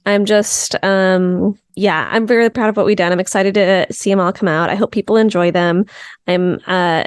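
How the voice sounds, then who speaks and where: A woman's voice: medium pitch, steady tone, smooth, dry recording. Upbeat woman comedian in her thirties. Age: 30s. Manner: upbeat, quick bursts, laughs easily. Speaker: comedian guest. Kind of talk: casual comedic chat.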